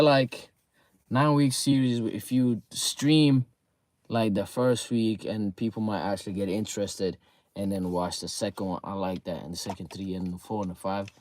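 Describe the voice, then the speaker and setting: A man's voice: fairly low pitch, moderate pace, a little mellow, dry recording. Rambling young man with a sore throat. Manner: rambling, repeats words, many fillers. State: sore throat, voice getting low. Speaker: streamer. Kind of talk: casual live stream chat reacting to viewers.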